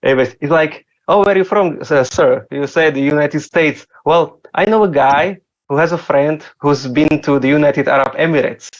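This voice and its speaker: A man's voice: on the high side, normal speed, few pauses, muffled recording. A man from Slovakia doing open mic stand-up, hesitant, with many fillers and restarts. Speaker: stand-up comic.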